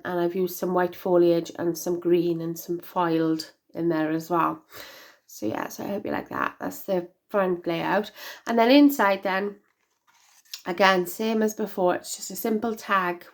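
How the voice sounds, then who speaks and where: Croaky, congested woman vlogging. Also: on the low side. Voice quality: hoarse. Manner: chatty and rambling, many ums and restarts. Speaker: craft vlogger. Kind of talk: casual craft show-and-tell.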